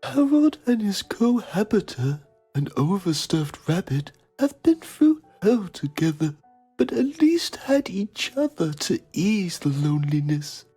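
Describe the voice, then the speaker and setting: A self-important television journalist played by a man narrates a mock documentary for a game. His voice is mellow and husky, his manner solemn and pompous. Soft music runs faintly behind him. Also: high-pitched, sing-song, unhurried, full character voice. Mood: grave, pompous.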